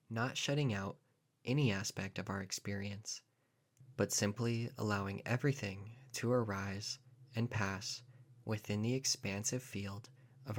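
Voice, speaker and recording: A man with a fairly low voice leads a guided meditation. He speaks as a teacher, setting out each phrase deliberately and leaving pauses between them.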